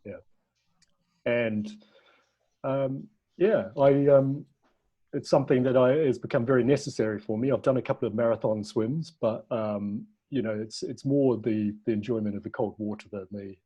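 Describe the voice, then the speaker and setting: Husky-voiced New man, hesitant. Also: on the low side, fairly even intonation, moderate pace, muffled recording. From New Zealand. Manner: hesitant, many ums, restarts. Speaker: documentary filmmaker guest. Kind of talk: casual interview over video call. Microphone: video call.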